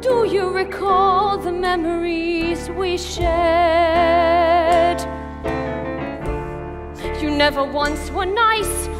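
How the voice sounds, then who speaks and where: High, haughty schoolboy rival, played by a woman. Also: steady tone, takes it slowly. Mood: defiant, singing. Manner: pompous, theatrical, old-fashioned phrasing. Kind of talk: comic musical staged reading. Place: on stage in a large hall. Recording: echoey live hall, music behind.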